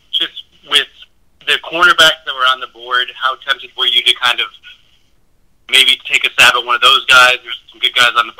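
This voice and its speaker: A man's voice: medium pitch, sharp tone. A man asking press conference questions as a sports reporter, heard over a tinny phone line.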